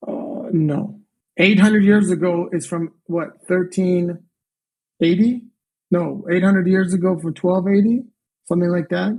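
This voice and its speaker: A man's voice: sits high, unhurried, warm tone, rough recording. A young man in an online debate, defending his point step by step and asking to be treated with respect.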